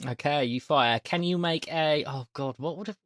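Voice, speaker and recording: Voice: mid-range voice, moderate pace, fairly bright tone, no echo. A game master improvising narration for a tabletop roleplaying game.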